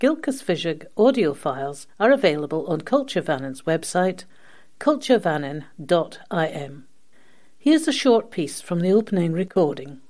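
A woman's voice: on the low side, sing-song, moderate pace, a little mellow. A woman presenting a scripted radio magazine programme.

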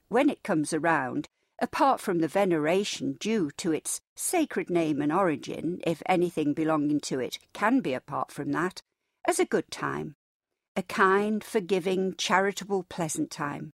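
Woman voicing a bright-hearted, cheerful, earnest young nephew. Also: on the low side, no echo, clean studio sound.

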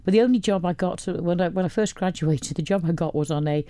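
A woman's voice: fairly low pitch, fast talker, dark tone, no room sound. A woman from Wales in her later years, a radio guest chatting casually about her life, rambling with lots of restarts. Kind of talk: casual interview chat.

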